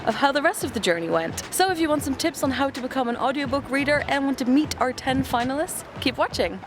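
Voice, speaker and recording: A woman's voice: high-pitched, animated, fast. A woman presenting a video intro in an upbeat, welcoming way. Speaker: channel presenter. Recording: street noise behind.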